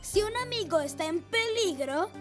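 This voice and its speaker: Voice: extremely high pitch, animated, normal speed. An actor voicing a boastful, brave young boy motorcycle in a children's cartoon, eager and emphatic. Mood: determined. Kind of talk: animated children's cartoon dubbing. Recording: loud children's music behind the voice.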